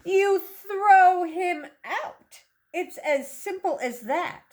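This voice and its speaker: A woman teacher reading a picture book aloud to young children in an animated, instructive way, asking questions as she goes. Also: very high voice. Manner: instructive, questioning, slow deliberate phrasing.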